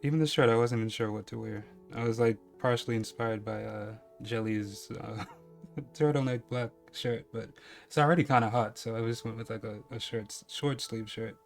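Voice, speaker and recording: A man's voice: medium pitch, steady tone, moderate pace, vocal fry, faint music behind. A young man from New York with a slight Caribbean accent, chatting casually on a live stream with lots of fillers. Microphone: headset.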